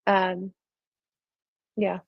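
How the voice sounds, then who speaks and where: A woman's voice: mid-range voice, completely flat delivery, extremely slow, gravelly, breathy edge, dry recording, dull audio. Chatty young woman, dry sound. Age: young adult. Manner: chatty, upbeat, addresses viewers as guys and y'all. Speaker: guest. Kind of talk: casual live-stream chat.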